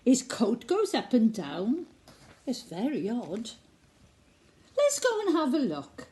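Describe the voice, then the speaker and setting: A curious young storybook child, voiced by a woman. The voice is high and jumps up and down as the child exclaims and wonders aloud, eager and easily excited. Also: slow pace, heavily acted.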